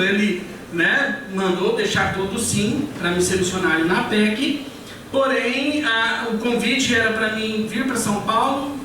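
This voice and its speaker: Casual preacher from Goiás with the accent, highish voice, heavy church echo, sounds far from the mic. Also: male voice. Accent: Goiás Brazilian Portuguese. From Goiás, Brazil. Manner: relaxed, conversational, many fillers and tag questions. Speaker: guest pastor and missionary. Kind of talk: church sermon. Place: church hall. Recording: echoey church hall, picked up from afar, noisy.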